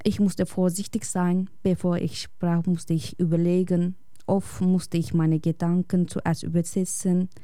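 A woman speaking as a radio guest, reading a prepared personal essay in a calm, reflective and measured way. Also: fairly low pitch, clean tone, no echo, studio quality.

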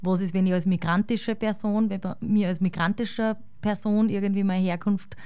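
An Austrian woman with a Salzburg accent, a guest chatting casually and thinking aloud, often ending with a quick tag question. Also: mid-range voice, fairly even intonation, dark tone, smooth, no room sound. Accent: Salzburg Austrian German. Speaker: guest musician. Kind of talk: casual conversation.

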